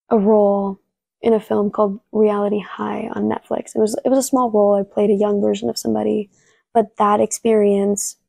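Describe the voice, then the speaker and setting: A young woman, a native Spanish speaker talking in English, telling stories from her acting work in a relaxed interview with many restarts. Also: mid-range voice, vocal fry, no echo. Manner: storytelling, relaxed, frequent "like" and restarts. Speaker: actress, interview guest. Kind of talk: casual interview answers.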